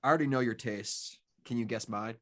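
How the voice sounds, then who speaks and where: A man's voice: mid-range voice, fairly quick, fairly bright tone, natural voice. A man playing a cocky, suave schemer in a scripted table-read drama, talking informally. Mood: smooth, cocky.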